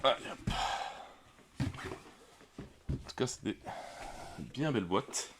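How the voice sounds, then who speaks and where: A man with a cold, hosting a board game unboxing in a relaxed, hesitant way with many fillers. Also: medium pitch, takes it slowly, background noise. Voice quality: slightly off, congested voice. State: has a cold. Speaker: board game channel host. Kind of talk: casual unboxing commentary.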